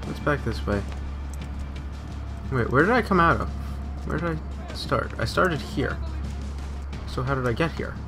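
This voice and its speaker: A man's voice: a little high, animated, unhurried, pauses often. Informal male gamer, music behind him. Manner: informal, sweary, rambling. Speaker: video game let's player. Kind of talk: casual gameplay commentary. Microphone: USB desktop microphone.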